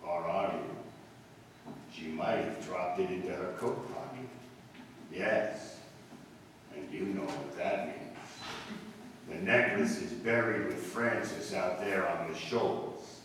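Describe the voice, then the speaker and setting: A man performing an ominous, taunting radio mystery narrator in a brooding, deliberate 1940s suspense style. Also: low-pitched. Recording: very echoey hall, distant mic, faint noise.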